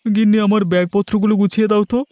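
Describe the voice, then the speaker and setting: A man voicing a hurried young shopkeeper, a busy and easygoing husband, in a cartoon. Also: high voice, level tone, dark tone, no echo, natural voice. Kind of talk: cartoon story dialogue.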